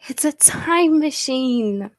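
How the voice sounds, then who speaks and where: Casting: actress as confident eccentric woman professor, 1940s radio drama. Highish voice, unhurried, assured declamation. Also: expressive. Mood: proud, earnest. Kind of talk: 1940s radio play acting.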